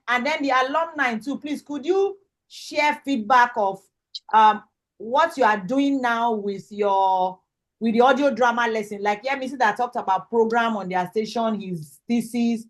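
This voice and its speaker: A woman's voice: on the high side, moderate pace. A woman with a Nigerian accent informally hosting an online event as its instructor on a video call. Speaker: host and lead instructor of an online graduation. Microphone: video call microphone.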